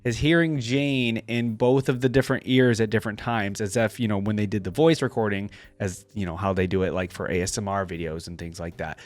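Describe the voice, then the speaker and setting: A man's voice: mid-range voice, no echo. A man hosting a reaction video, talking casually and informally with frequent exclamations.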